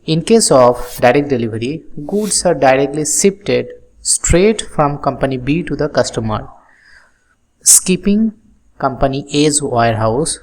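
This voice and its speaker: Halting tutorial instructor, medium pitch. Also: animated, a little mellow, poor audio. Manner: step-by-step explaining, halting phrasing. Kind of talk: explanatory software tutorial lecture with examples.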